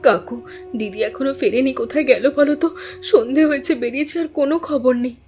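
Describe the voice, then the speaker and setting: A woman's voice: high-pitched, fast talker, a little mellow, soft background music, lightly acted. A woman playing an earnest, anxious young village sister in a cartoon drama, speaking in an emotional, pleading way. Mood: anxious, tearful.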